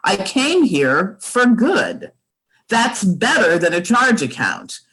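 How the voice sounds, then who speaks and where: A woman as a slow, imperious old grand dame. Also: mid-range voice, a bit bright, poor audio. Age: older. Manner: haughty, drawn-out, commanding delivery. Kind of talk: radio play acting. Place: remote home recording over video call. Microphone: video call.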